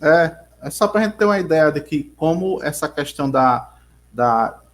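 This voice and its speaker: A man's voice: a little high, animated, moderate pace. A man teaching an online geography class with a Rio Grande do Norte accent, rambling and restarting his sentences as he calls on students over a video call. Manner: rambling, restarts often, addresses students by name. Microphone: computer mic on video call.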